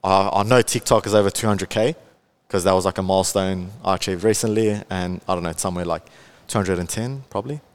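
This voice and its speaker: A man's voice: sits low, fast, clear, resonant tone. Laid-back young Australian man. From Melbourne, Australia. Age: 20s. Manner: chatty, laid-back, many fillers, laughs often. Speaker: guest, content creator. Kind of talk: casual podcast interview.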